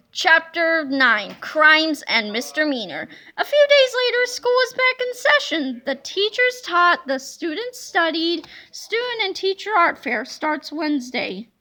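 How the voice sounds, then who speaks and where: Lively woman reading a storybook aloud. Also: very high voice, poor audio. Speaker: read-aloud narrator. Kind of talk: reading a children's book aloud.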